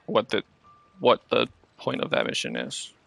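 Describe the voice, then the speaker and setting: A man's voice: lowish voice, very animated, unhurried, creaky, fairly bright tone, faint music behind. A man chatting casually over gameplay, explaining things to a fellow player step by step. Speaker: gaming stream companion explaining the game.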